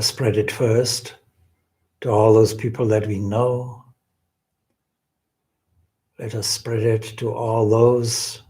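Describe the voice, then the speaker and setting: A man leading a guided meditation as a swami, speaking in a gentle, reverent, deliberate way with long pauses. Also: medium pitch.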